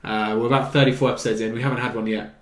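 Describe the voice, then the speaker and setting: A man's voice: mid-range voice, little pitch movement, racing. A man hosting a casual, opinionated chat among friends, recorded in a roomy space. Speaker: host of a video game chat show. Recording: roomy, echoey indoor pickup.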